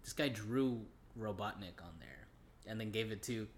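A man's voice: on the low side, moderate pace, a crowd in the background. A man co-hosting a podcast, casually chatting through a movie in joking slang with some swearing. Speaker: podcast co-host. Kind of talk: casual movie recap chat.

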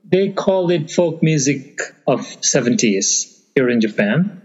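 A man from Bangladesh whose first language is Bengali, speaking thoughtfully in an interview and pausing often to find his words. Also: a little high, expressive, a little mellow, slightly rough. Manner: thoughtful, searching for words with frequent fillers. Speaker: interview guest. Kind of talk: conversational interview. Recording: long reverb, muffled lo-fi sound.

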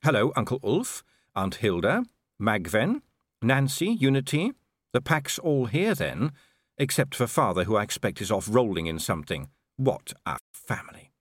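A man's voice: mid-range voice, sing-song, moderate pace, no echo, studio quality. Man voicing a cool, sardonic werewolf woman. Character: tough, sardonic young werewolf woman, scornful of family.

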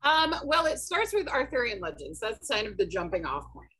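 A woman author as a podcast guest, talking through her book series in a thoughtful, hesitant way with many pauses. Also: on the high side, big pitch swings, sharp tone, breathy edge. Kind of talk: casual podcast interview.

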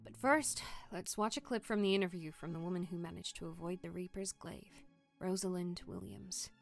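A woman's voice: on the low side, moderate pace, gravelly, soft background music. A woman playing a young TV interviewer and supportive friend in an audio drama, composed and caring. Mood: polished newsreader. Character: sympathetic, upbeat young female TV interviewer and close friend.

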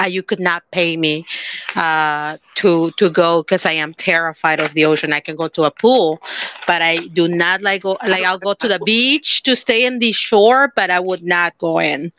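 A woman's voice: on the low side, sharp tone, smooth, no room sound, lo-fi sound. A woman chatting casually on a podcast, hesitant and restarting her sentences with fillers. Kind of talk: casual chat.

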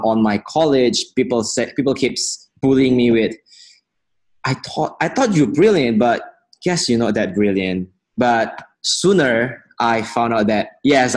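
A man in his twenties from Indonesia, an interview guest speaking earnest, halting English. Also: fairly low pitch. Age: 20s. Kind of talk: casual interview.

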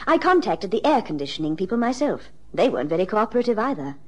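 A woman's voice: medium pitch, very animated, quick pace, darkish tone. An actress playing a wealthy, frank British married lady of middle age in a radio drama, speaking in a refined, precise and insistent way. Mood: frank, exasperated.

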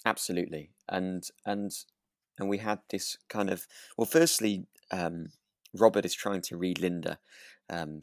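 A man's voice: low-pitched, no room sound, clean studio sound. A man co-hosting a podcast, recapping a radio drama in a casual, rambling way with frequent tag questions. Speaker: podcast co-host.

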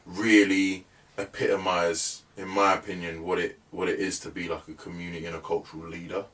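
Young man, lowish voice, laid-back guest, a bit off-mic. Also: a little fast, distant mic. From England. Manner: relaxed, chatty, many fillers like "you know what I mean". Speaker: musician guest. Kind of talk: casual podcast interview.

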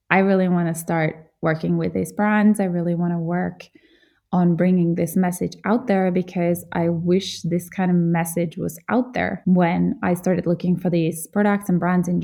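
A woman's voice: lowish voice, vocal fry, mellow. A woman from Finland hosting a podcast, telling her story in an earnest, reflective way. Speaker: podcast host. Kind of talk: solo podcast monologue.

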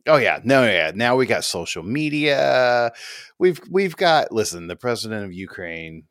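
A man's voice: medium pitch, very animated, a little slow, a bit bright, no echo, clean studio sound. A man co-hosting a podcast, chatting casually in a rambling, opinionated way and swearing freely. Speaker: podcast co-host. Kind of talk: casual chat.

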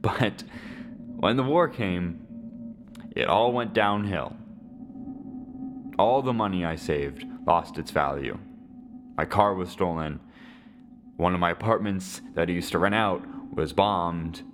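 A man playing a lonely, resigned Syrian widower in his seventies in a dramatic podcast monologue, halting and reflective. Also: low voice, noisy background. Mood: bitter, weary. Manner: slow, halting, reflective delivery with weighty pauses.

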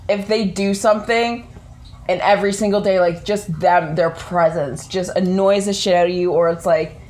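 A woman co-hosting a casual chat, raspy and coughing, talking bluntly and playfully with lots of swearing. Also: lowish voice, fairly even intonation, normal speed, a little mellow. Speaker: podcast co-host.

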